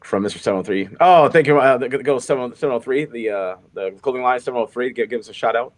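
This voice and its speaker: A man's voice: mid-range voice, quick pace. A man co-hosting a live football podcast, a coach who rambles, repeats himself and uses lots of fillers. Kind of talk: casual live podcast chat.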